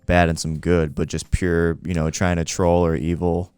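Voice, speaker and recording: A man's voice: sits low, monotone, moderate pace, a little mellow, clear, resonant tone, dry recording, studio quality. A man chatting casually as a co-host on a podcast. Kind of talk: casual chat.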